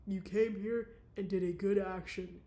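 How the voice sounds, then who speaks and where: A woman reading aloud, voicing a calm married woman with a deliberately low, measured voice. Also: lightly acted. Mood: gentle. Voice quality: low, measured and soft-spoken.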